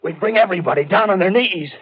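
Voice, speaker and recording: An actor playing a fanatical soldier survivor with grand schemes, speaking in rough, rambling, repetitive colloquial phrases in 1930s radio drama style. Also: male voice, high-pitched, fast. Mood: feverish scheming. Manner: rough colloquial speech, rambling, fervent, repeating himself. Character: fanatical artilleryman survivor scheming to rule the ruined world. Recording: vintage 1930s radio broadcast, narrow phone-like band.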